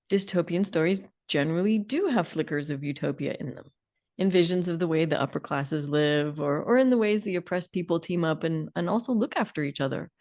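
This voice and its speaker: A woman's voice: on the low side, a little mellow. Playful woman chatting on a podcast. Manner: playful, colourful phrasing. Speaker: podcast co-host. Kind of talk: casual discussion.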